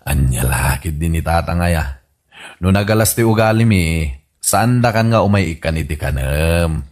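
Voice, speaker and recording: A man's voice: low voice, lively intonation, moderate pace, darkish tone. A man with an Ilocano accent playing an exasperated adult son in a comedy radio drama, questioning his old father incredulously.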